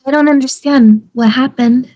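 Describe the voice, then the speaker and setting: A woman performs an easygoing young wife who is puzzled by what is around her. Her voice is fairly high and natural, as in a sitcom read-through. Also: fairly even intonation, normal speed, warm tone.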